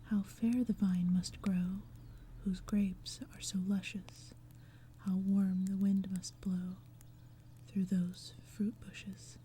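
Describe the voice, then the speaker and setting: A woman's voice: medium pitch, level tone, slow, slight background noise, natural voice. Woman whispering as an earnest young storybook maiden. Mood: hushed wonder. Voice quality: breathy whisper. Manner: hushed, earnest, lilting verse. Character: earnest young maidens in a fairy-tale poem.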